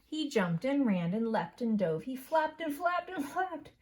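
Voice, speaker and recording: A woman, a school principal, reading a children's story aloud in an expressive, unhurried way. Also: high voice.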